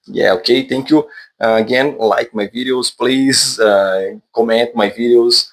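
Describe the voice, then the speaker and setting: A man's voice: mid-range voice, unhurried. A man from Brazil, a native Portuguese speaker with a Brazilian accent, talking casually and unscripted to viewers in hesitant, self-correcting English. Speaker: vlogger, English learner.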